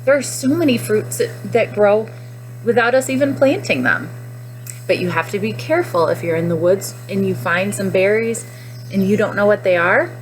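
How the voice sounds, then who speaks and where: A woman teacher doing a guided read-aloud for young children, pausing to explain and to question her listeners directly. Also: mid-range voice, animated, faint music behind, poor audio.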